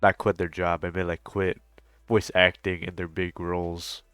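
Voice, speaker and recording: A man's voice: low-pitched, level tone, normal speed, fairly bright tone, clear, resonant tone, no room sound, clean studio sound, faint music behind. A young adult man giving casual, slangy reaction commentary on a game, with frequent swearing. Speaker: streamer reacting to a game story.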